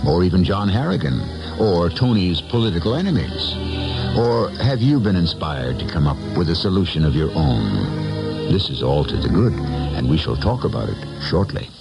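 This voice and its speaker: Male host, 1970s radio mystery narration, very low, gravelly, theatrical, music behind. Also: sing-song. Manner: theatrical, rhetorical, measured questioning. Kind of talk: scripted narration, 1970s radio drama hosting.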